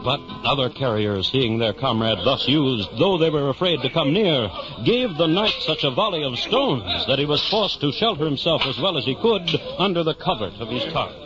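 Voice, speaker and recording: Man as old radio narrator, theatrical and formal, lively pitch, music behind, muffled recording. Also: close to an everyday voice. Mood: storytelling. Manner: theatrical, formal, measured storytelling. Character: storytelling narrator of a mock-heroic tale. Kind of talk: scripted narration, 1950 old-time radio.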